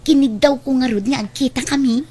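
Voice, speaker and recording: A woman's voice: high-pitched, brisk, dark tone, breathy edge. A woman acting a bold, forward young woman in an Ilocano radio drama, with an Ilocano Filipino accent. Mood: eager, giddy.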